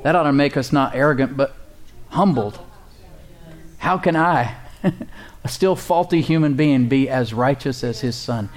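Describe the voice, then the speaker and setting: A man's voice: mid-range voice, unhurried, pauses often. A male pastor preaching a sermon in a conversational, emphatic way, repeating words and chuckling now and then.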